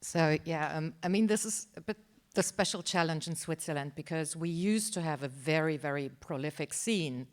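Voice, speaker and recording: A woman's voice: sits low, moderate pace. A woman speaking informally as a panelist, chatty and given to wry asides. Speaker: demo party organizer on a panel. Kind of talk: informal roundtable talk.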